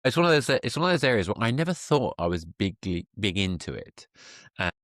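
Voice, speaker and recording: A man's voice: on the low side, big pitch swings, brisk, a bit bright, no echo. A man hosting a casual interview, talking with emphatic enthusiasm and rephrasing as he goes. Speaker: podcast host.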